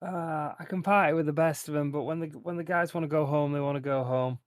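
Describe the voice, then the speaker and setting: Guy doing an old settler bloke, kinda high voice, casual and matey, no room sound. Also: fairly even intonation, fast talker, no room sound, clean studio sound, natural voice. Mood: easygoing. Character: plain-spoken old settler man on the radio.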